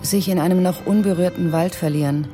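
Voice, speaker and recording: Woman playing gentle reflective lover, mellow low-brightness tone, radio drama narration, faint music. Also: steady tone, a little fast, lightly acted. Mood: tender, reminiscing. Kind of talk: literary radio drama monologue.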